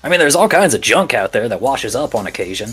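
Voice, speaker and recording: Man as a bright-voiced scrappy scavenger guy. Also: mid-range voice, big pitch swings, fast, husky, soft background music, exaggerated voice. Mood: easygoing, chatty. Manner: casual, folksy. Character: easygoing scrappy junk-scavenging male local with robot dog.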